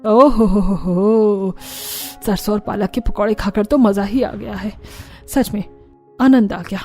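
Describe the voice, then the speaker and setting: Woman doing older cartoon saas, bossy n food-loving, soft mellow tone, orders everyone. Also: mid-range voice, fairly even intonation, a little slow, soft background music, lightly acted. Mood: delighted. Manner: commanding, relishing. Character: bossy, greedy older mother-in-law who relishes food.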